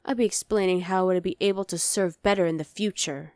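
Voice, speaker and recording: A woman's voice: fairly low pitch, quick pace, darkish tone, no room sound, studio quality, natural voice. A woman voicing a dominant, haughty evil queen in an ASMR roleplay, imperious, sly and condescending. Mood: imperious, threatening.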